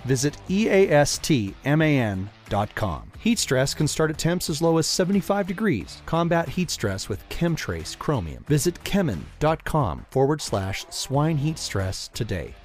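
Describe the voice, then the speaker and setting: A man's voice: a little high, very animated, a little slow, few pauses, a little mellow. A man reading a scripted podcast introduction and sponsor messages as an announcer. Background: guitar music.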